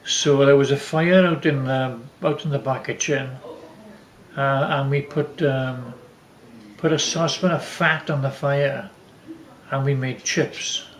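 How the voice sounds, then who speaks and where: An older man telling family stories casually, rambling and halting with repeated false starts. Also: mid-range voice, steady tone. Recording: echoey room.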